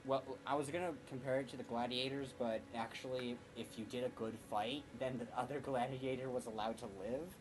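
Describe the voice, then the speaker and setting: A man's voice: medium pitch, fairly even intonation, a little fast, few pauses, background noise. A man streaming a video game and chatting casually to viewers, rambling and correcting himself as he plans his route. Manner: rambling, self-correcting, jokey. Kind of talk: casual gameplay commentary.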